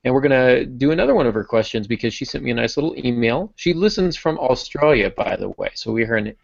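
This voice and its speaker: Gravelly-voiced male podcast host. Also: medium pitch, expressive, fast talker, no echo, dull audio. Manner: chatty, upbeat, many restarts. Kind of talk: casual writing podcast chat.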